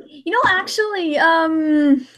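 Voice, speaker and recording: High-voiced young woman chatting casually. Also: takes it slowly, fairly bright tone, slight background noise. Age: young adult. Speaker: livestream host and video editor. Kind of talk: casual livestream chat.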